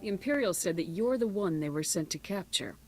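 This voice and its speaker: A woman's voice: fairly low pitch, expressive, a little fast, a little mellow, natural voice. An actress playing a defiant female Republic soldier, firm and resolute, in a sci-fi video game. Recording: faint music behind the voice.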